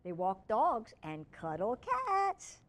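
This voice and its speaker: A woman's voice: a little high, huge pitch swings, takes it slowly, a bit bright. A woman reading aloud as a children's storyteller, with informal asides along the way.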